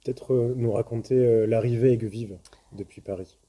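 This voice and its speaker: A man interviewing a couple, asking halting, gentle prompting questions. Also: medium pitch, steady tone, slightly rough.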